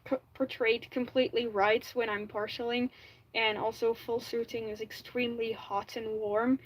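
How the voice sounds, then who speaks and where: A woman with a Flemish accent, native Dutch speaker, chatting casually on a livestream and often correcting herself mid-sentence. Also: fairly high pitch. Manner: chatty, restarts and self-corrects, frequent "like". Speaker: livestreamer making a fursuit.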